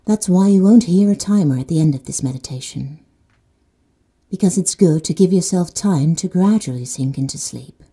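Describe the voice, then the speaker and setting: A woman guides a sleep meditation in a very mellow, breathy voice set slightly low. She speaks slowly and soothingly and sometimes drops to a hushed whisper. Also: animated. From Netherlands. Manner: slow and soothing, dropping to soft whispers.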